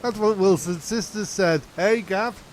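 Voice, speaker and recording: Man acting a crude male castaway, unhurried, slightly high, jokey, exasperated, radio play, faint rumble. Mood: joking.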